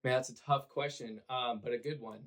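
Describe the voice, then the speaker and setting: A man, hoarse and raspy from cheering, talking earnestly about his work as a podcast guest with lots of fillers. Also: mid-range voice, fairly even intonation, fairly quick, a bit bright. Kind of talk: conversational podcast interview.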